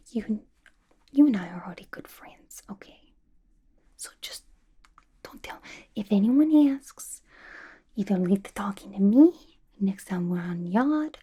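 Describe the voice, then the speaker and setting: A woman voicing a playful, unhinged young villain inmate in a chummy, slangy ASMR roleplay, mostly in a breathy whisper. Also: medium pitch, mellow. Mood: secretive, chummy.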